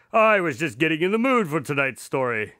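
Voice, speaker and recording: Man playing a bright, animated, wry doctor storyteller. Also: a little high, moderate pace, vocal fry, faint music behind, heavily acted. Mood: playful storytelling. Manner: wry, bantering, emphatic. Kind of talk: radio drama acting.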